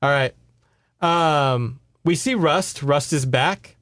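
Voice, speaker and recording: A man's voice: on the high side, slow, fairly bright tone, dry recording. A man hosting a podcast, retelling a book in casual, conversational chat and checking in with his listeners. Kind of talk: casual story recap chat.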